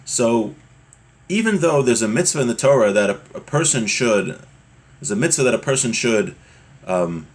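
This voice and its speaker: A man's voice: mid-range voice, lively intonation, moderate pace. A man with a mid-range voice teaches a religious class. He reads phrases of Hebrew text and translates them into English, explaining in a conversational way with everyday hypothetical examples.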